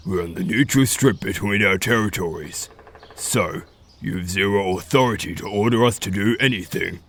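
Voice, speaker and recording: A man's voice: on the low side, normal speed, gravelly, a bit bright. A man playing an authoritative animal pack leader in an audio drama, speaking formally and with command. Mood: stern.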